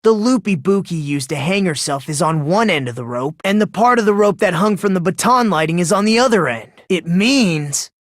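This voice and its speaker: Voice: medium pitch, animated, moderate pace, hardly any pauses, dry recording, clean studio sound, close to an everyday voice. An actor voicing a polite but unsettling teenage boy in a video game, self-deprecating with a smug, condescending streak. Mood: calmly explaining.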